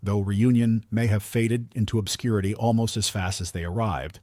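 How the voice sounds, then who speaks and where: A man's voice: on the low side, fairly even intonation, normal speed, warm tone, no echo, clean studio sound. A man narrating a scripted countdown in a polished, earnest delivery, as the narrator.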